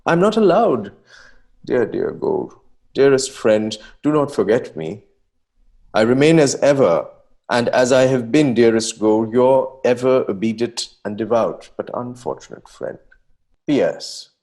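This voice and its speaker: An impassioned young poet of the nineteenth century, acted by a man, declaiming his letters in a dramatic reading. His delivery is florid and melodramatic, with a very animated pitch that rises and falls freely. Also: measured pace. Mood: anguished, pleading.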